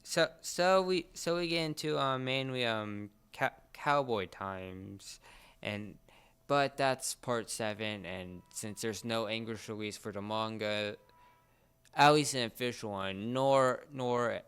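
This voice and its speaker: A man chatting casually as a fan, often halting and searching for his words. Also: mid-range voice, a bit bright, clear, resonant tone.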